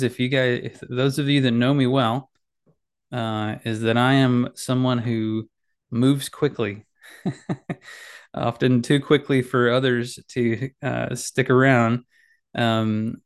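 Dad podcaster, gravelly mid-pitched voice with a nasal edge, casual and self-deprecating, solo from his home office. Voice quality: slightly nasal. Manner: rambling, self-deprecating, many fillers and restarts. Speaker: podcast host. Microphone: boom mic with headphones.

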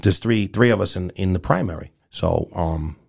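Gravelly, low-voiced man in his fifties. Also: normal speed, darkish tone. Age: 50s. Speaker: guest, event promoter. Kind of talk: casual conversational interview.

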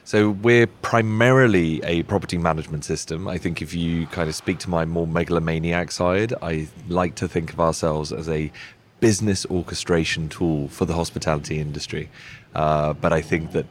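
A man's voice: deep voice, normal speed, slight background noise. A man in his thirties from the Czech Republic with a British accent, talking casually and self-deprecatingly in a live interview at a hotel. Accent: British English. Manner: relaxed, self-deprecating, many fillers. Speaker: software company founder. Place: hotel lounge.

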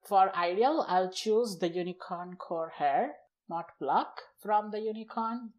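A man's voice: sits high, unhurried, a little mellow. A man with an Indian accent, a Gujarati native speaker, chatting as a podcast guest and explaining things in short, loose phrases. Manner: lists and explains things in short chunks, somewhat unfinished sentences. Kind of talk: casual chat.

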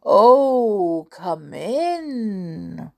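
Woman as a wicked storybook wolf, old, male, posing as granny, coaxing, very drawn-out, swooping pitch. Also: sing-song, vocal fry, darkish tone, exaggerated voice. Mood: feeble, coaxing. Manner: coaxing, drawn-out, exaggerated storybook villain delivery.

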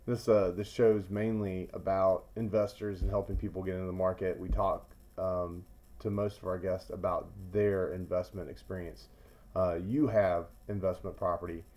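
A man hosting a podcast, asking loose, relaxed questions with fillers. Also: low-pitched, moderate pace. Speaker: podcast host. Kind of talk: casual interview hosting.

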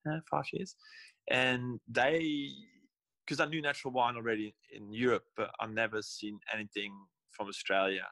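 A man's voice: mid-range voice, steady tone, normal speed, bright, forceful tone, dry recording, rough recording. A young man, a winemaker talking in a casual interview, rambling and often correcting himself mid-sentence. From Belgium. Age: young adult. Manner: rambling, restarts and self-corrections. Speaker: guest winemaker.

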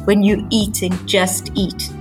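A woman speaks as a guest coach in an earnest, reflective way, letting phrases loop and build. Her voice sits at a middle pitch. Faint music plays underneath. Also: flat delivery, slow. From South Africa. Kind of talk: conversational interview.

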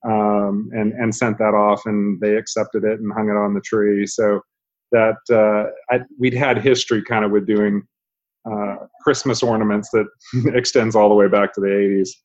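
A middle-aged man from Japan, a physician, telling his story in a measured way with many "uh" pauses. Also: on the low side, level tone, a little mellow. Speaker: guest, father and physician. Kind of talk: casual interview talk. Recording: slightly dull lo-fi recording.

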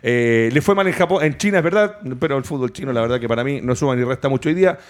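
A man's voice: medium pitch, expressive. A man hosting a sports talk show, talking with frequent fillers. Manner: fast, hesitant with fillers.

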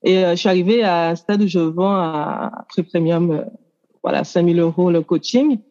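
A woman in her thirties, a coaching client, explaining her situation in a casual, hesitant way with many pauses and restarts. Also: on the low side, dark tone, highs cut off. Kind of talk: casual chat. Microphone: handheld mic.

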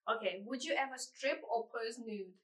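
A young woman with a notably high voice chats in an echoey room. She is playful and teasing, moving through questions in a casual way. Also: moderate pace. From Kenya. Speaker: vlogger asking challenge questions. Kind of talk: casual question-and-answer chat.

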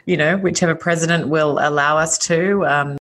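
A middle-aged Australian woman being interviewed as a guest, chatty and quick to laugh, with lots of "you know" and "like". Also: deep voice, fairly even intonation, fairly bright tone. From Sydney, Australia. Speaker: guest, business founder and author. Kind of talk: casual conversational interview.

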